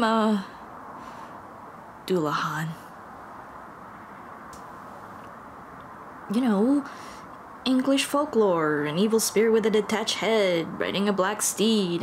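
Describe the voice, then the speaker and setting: A woman's voice: medium pitch, a little hiss or hum, lightly acted, rough recording. A woman playing a nervous, apologetic young female dullahan in an intimate ASMR roleplay, speaking hesitantly with stammers and self-deprecation. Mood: awkward, wry.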